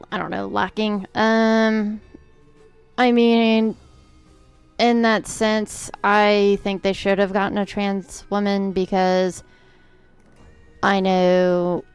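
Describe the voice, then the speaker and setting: A woman's voice: on the low side, smooth. A woman co-hosting a podcast in casual chat, easygoing and hesitant, often hedging her views. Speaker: podcast co-host.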